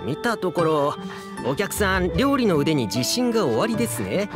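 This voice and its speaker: A man's voice: sits high, big pitch swings, moderate pace. A man voicing a courteous, helpful innkeeper in a Japanese fantasy game, polite and encouraging. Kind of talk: Japanese anime game voice acting. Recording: music behind the voice.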